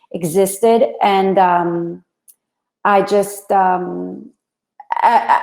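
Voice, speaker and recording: A woman from Puerto Rico speaking as a guest on a live online panel, reflective and halting as she gives heartfelt remarks. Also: mid-range voice, a little mellow, roomy sound. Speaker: film visual effects artist, panel guest.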